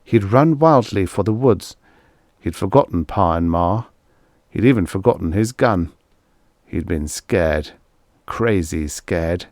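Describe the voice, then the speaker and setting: A man's voice: low-pitched, expressive, slow pace, a little mellow, no echo, studio quality. A man reading a scripted story as an audiobook narrator.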